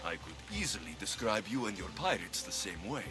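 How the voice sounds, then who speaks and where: A man's voice: lowish voice, big pitch swings, brisk, music in the background. An actor playing a cocky, sardonic male mercenary in a video game cutscene. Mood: dry teasing. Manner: dry, sardonic, bargaining. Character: cocky, wry male mercenary in ancient Greece.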